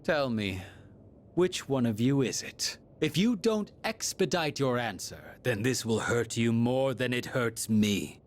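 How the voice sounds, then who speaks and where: An actor playing a coldly courteous game villain with formal, archaic, taunting speech who preaches like a false prophet. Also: male voice, mid-range voice, lively intonation, a little slow, a little hiss or hum, lightly acted. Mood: menacing, taunting. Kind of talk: English video game voice acting. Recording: music under the voice.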